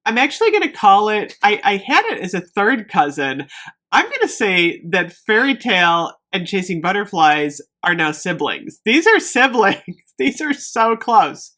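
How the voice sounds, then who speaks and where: A woman chatting casually to viewers in a product review vlog, emphatic and thinking out loud as she goes. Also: mid-range voice, big pitch swings, a little slow. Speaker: beauty product review vlogger.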